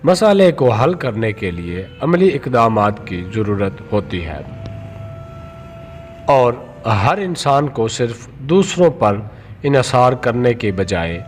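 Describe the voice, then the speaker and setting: A man reading a scripted moral story for children as a storyteller, in a measured read-aloud manner. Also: mid-range voice.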